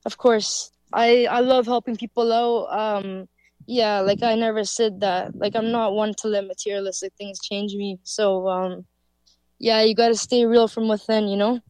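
A woman's voice: medium pitch, steady tone, moderate pace, darkish tone, clean tone, no echo. A woman from Canada, a musician answering interview questions in a loose, casual way full of fillers. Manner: loose, filler-heavy, with frequent "like". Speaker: musician guest.

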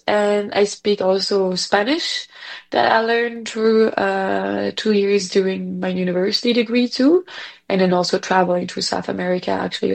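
A woman's voice: medium pitch, creaky. A woman in her thirties from Belgium, a native French speaker with a Belgian French accent, chatting as an interview guest with many fillers and restarts. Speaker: executive director, guest. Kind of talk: casual interview chat.

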